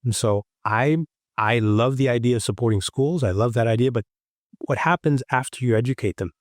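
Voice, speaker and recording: A man's voice: mid-range voice, fairly quick, dry recording, studio quality. A man from Haiti on a roundtable panel, making an earnest case with rhetorical questions. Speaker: physician panelist. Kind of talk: roundtable discussion.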